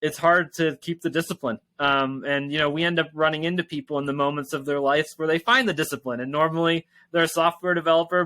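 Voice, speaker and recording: Male interview guest, casual and rambling, bright voice sitting a bit high, dry sound. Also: dry recording. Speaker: tech company founder and interview guest.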